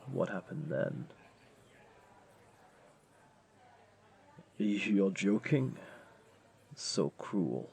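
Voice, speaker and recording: A man playing a flirty, comforting jaguar beastling in an intimate audio roleplay, gently coaxing the listener along. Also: low voice, very animated, slow, creaky, breathy edge, full character voice. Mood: sympathetic. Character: flirty, comforting male jaguar beastling, patient restaurant owner.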